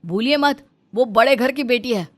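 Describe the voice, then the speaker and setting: A woman's voice: a little high, moderate pace, natural voice. A woman voicing a protective, hot-tempered young husband who scolds and accuses in a melodramatic story cartoon. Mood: angry, accusing. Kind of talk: melodramatic Hindi moral-story cartoon acting.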